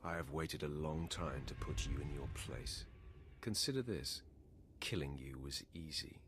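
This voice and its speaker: A man playing a cold, condescending Sith warrior in a video game, measured and haughty in his disdain. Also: extremely low pitch, expressive, over music. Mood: cold contempt.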